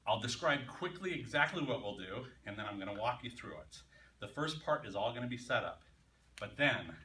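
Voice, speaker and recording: A man's voice: lowish voice, pushed and bright. A man speaking informally to a group, explaining instructions as the host of a club meeting. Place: meeting room. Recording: roomy, distant mic, background noise.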